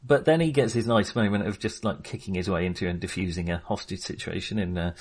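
A man's voice: sits low, quick pace, slightly rough. A man discussing films casually as a podcast host, in a chatty, hesitant, rambling way.

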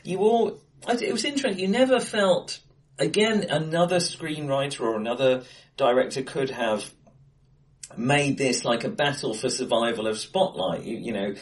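A man co-hosting a casual film discussion, recorded face to face in an acoustically bright room. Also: mid-range voice, moderate pace. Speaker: podcast co-host.

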